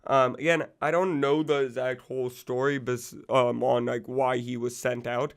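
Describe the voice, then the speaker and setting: A man's voice: medium pitch, flat delivery, normal speed. A young man in his twenties who is recovering from an illness and losing his voice, rambling casually about music with lots of fillers and swearing. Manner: rambling, loose, full of fillers and profanity. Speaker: music channel host.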